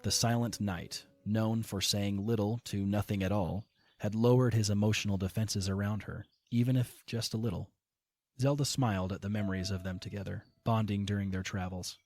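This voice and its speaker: A man reading scripted story narration as the narrator of an audio drama, in a measured storytelling manner over music. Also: fairly low pitch, steady tone, a little mellow.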